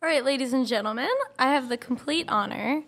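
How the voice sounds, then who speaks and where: A woman co-hosting a podcast, speaking informally and a little haltingly. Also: sits high, heavy background noise. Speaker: podcast co-host. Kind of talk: casual live introduction and chat.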